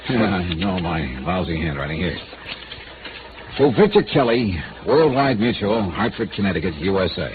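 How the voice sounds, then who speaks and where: A man's voice: sits low, big pitch swings, normal speed, background noise. An American man playing a wry, hard-boiled insurance investigator in 1950s radio noir, with clipped, dry delivery. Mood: brisk, easygoing. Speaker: lead actor and narrator. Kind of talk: 1950s radio noir acting, first-person narration. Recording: muffled old radio recording with music.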